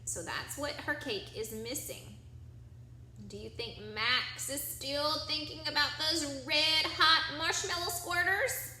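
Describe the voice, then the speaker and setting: Woman, children's read-aloud, bright high voice, expressive, encouraging, questioning, drawn-out key words. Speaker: teacher reading a picture book aloud to children. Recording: echoey room, faint background noise.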